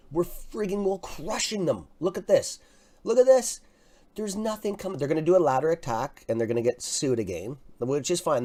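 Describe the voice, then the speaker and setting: A man with a raspy, mellow, fairly low voice, chatting loosely through a live trading stream. He gets excited, swears now and then, and keeps addressing his viewers as "guys.". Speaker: livestream stock trading host. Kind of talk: casual live market commentary.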